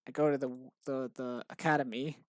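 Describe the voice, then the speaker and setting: Deadpan, monotonous man rambling. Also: brisk, no room sound, studio quality. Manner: tired, low-energy. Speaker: game developer vlogger. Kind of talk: casual rambling commentary while working.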